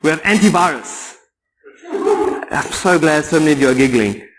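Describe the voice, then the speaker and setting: A man's voice: a little high, lively intonation, normal speed, noisy background. Sarcastic South African man giving a talk. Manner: sarcastic, joking. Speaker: conference speaker. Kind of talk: conference talk. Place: lecture room, indoors.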